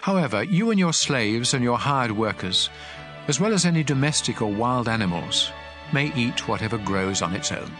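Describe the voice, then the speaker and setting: A stern, authoritative God played by a man lays down law in a dramatized scripture reading. The delivery is solemn and measured, with a mid-pitched male voice. Music plays clearly underneath. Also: sing-song, close to an everyday voice. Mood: solemn, instructive. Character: God of Israel, stern commanding male lawgiver.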